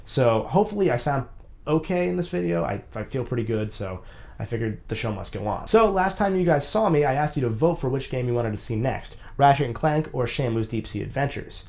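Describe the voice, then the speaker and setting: A man's voice: mid-range voice, lively intonation, a little fast, creaky, a little mellow. A young man with a slightly nasal, congested voice, recovering from a sinus infection and narrating a scripted video game review.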